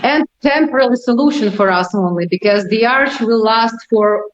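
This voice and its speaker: High-voiced Ukrainian woman, echoey muffled recording. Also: moderate pace. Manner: non-native English with dropped articles. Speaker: tour guide being interviewed. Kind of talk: conversational interview answers. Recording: roomy echo, muffled lo-fi, faint noise.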